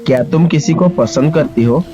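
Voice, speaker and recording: A man playing a caring, smitten young office boss in an animated story, gently insistent, with music and rain behind him. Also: on the high side, moderate pace, muffled recording, natural voice. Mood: romantic, confessing.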